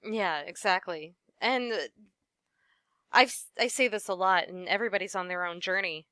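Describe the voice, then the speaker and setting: A woman voice actress talks shop in a relaxed interview, with a bright, crisp and very clear voice. She is friendly and laughs often, and sprinkles her talk with "you know." The recording is close and dry. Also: mid-range voice, normal speed, dry recording. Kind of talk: casual interview chat.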